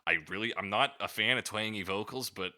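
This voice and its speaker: A man's voice: fairly low pitch, fairly quick, sharp tone. A man hosting a podcast in casual chat, with a sweary, slangy, jokey way of talking. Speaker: podcast host.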